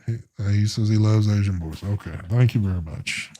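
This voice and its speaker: A man with a slightly low voice chats on a live stream. He rambles loosely from one idea to the next and cusses casually, sounding relaxed and unhurried. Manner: laid-back, cussing, rambling. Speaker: co-host of a live vape show.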